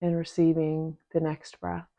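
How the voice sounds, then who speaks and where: A woman teaching a guided meditation in a gentle, unhurried manner, leaving long pauses between short phrases. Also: low voice, monotone, creaky. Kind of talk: guided meditation, soft instruction.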